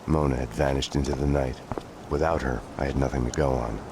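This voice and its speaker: A man voicing a world-weary hardboiled police detective in a video game, deadpan and sardonic, in noir style. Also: rumbling low, brisk, noisy background. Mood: brooding. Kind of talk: noir voice-over narration and terse dialogue. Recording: music under the voice.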